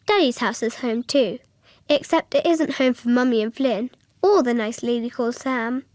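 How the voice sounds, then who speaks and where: A woman's voice: a little high, moderate pace, darkish tone, dry recording, studio quality, close to an everyday voice. A female performer playing an earnest, literal six-year-old girl narrating in a radio drama. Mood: earnest, matter-of-fact. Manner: childlike, literal, explanatory.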